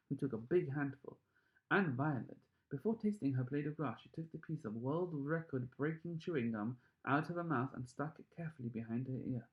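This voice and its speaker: A man reading a children's storybook aloud with expressive, emphatic narration. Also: medium pitch, muffled recording. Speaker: story reader.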